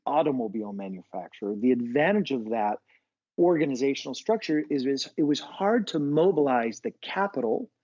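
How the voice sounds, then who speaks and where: A man's voice: medium pitch, animated, a little fast, a little mellow, dry recording, highs cut off. An American man, a business school professor, talking through ideas in a casual podcast interview with frequent restarts. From United States. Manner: thoughtful, restarts often, many fillers.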